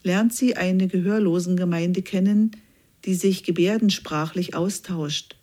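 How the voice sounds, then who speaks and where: A woman reading a scripted news feature aloud in an even, measured way. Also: lowish voice. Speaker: news reader.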